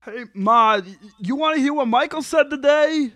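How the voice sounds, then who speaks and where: A man's voice: very high-pitched, moderate pace, a bit bright, no echo. A man voicing a defensive, whiny grown son and brother in a sitcom table read, pleading his case emphatically.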